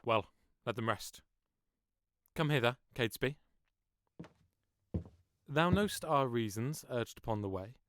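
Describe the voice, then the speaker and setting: An actor playing a commanding, conspiring nobleman in Shakespearean audio drama, with deliberate, persuasive formal verse. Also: mid-range voice, animated, a bit bright, slight background noise. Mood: conspiratorial, commanding.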